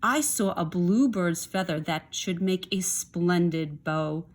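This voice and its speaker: A woman's voice: lowish voice, slow pace. A woman voicing an eager, earnest male praying mantis in a children's storybook, exclaiming his words. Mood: excited.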